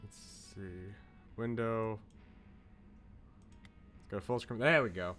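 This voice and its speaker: A man's voice: fairly low pitch, slow pace, a bit bright, soft background music. Man hosting a casual game livestream. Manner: chatty, talks to viewers, rambling asides. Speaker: streamer, video game host. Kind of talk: casual livestream chat with on-screen text read aloud.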